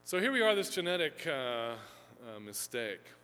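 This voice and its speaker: A man's voice: fairly high pitch, sing-song, normal speed, bright, forceful tone, smooth, faint music behind. A man giving a rambling, filler-heavy lecture to a live audience from a fixed lectern mic, self-deprecating and chatty. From Houston, Texas, USA. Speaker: seminary professor giving a talk. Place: lecture hall. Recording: echoey hall with faint hum.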